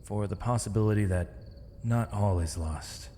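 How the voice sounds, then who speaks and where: A man playing a gentle, weary, eloquent imprisoned mage in an ASMR roleplay, speaking in measured, formal, poetic phrases with thoughtful pauses. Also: lowish voice, a little hiss or hum, lightly acted. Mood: earnest, hopeful. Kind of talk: ASMR roleplay, intimate dramatic monologue. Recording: long reverb, faint night insect ambience.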